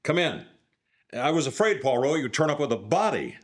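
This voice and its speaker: A man's voice: mid-range voice, big pitch swings, moderate pace. A man playing a blunt, impatient police inspector in a live mystery drama, conversational and a little baffled. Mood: relieved, sheepish. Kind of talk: live radio-style mystery drama acting.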